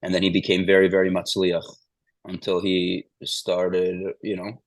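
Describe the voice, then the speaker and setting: Deep, flat-voiced man in his forties. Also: normal speed, no room sound, low-quality recording. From Ukraine. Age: 40s. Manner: meandering, restarts, repeats "the bottom line is". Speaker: interview guest. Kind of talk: casual interview storytelling.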